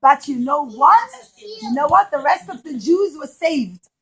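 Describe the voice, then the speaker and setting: Female children's storyteller, high voice, emphatic and repetitive delivery for a young audience. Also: animated, a little slow, a bit bright. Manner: emphatic, repeats phrases for children. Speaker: children's storyteller and puppet show presenter.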